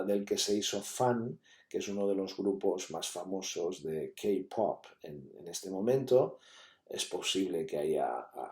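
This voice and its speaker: A man's voice: fairly low pitch, mellow. A man giving an academic conference talk, explaining steadily with many fillers and tag questions. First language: Catalan. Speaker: university lecturer. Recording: echoey room.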